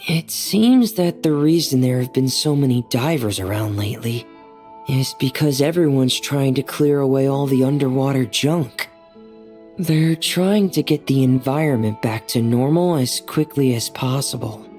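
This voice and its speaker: An actor voicing a gentle, earnest young boy diver in a video game, polite, subdued and a little hesitant. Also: medium pitch, normal speed, close to an everyday voice. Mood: worried. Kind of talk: video game character dialogue. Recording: faint music behind the voice.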